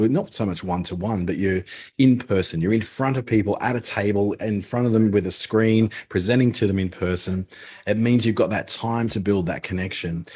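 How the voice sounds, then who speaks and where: A man's voice: on the low side, darkish tone, husky. A man with an Australian accent who clips the ends of his words, teaching a live webinar in a chatty, filler-laden way. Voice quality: clipped word endings. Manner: chatty, with frequent ums and uhs. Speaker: webinar presenter.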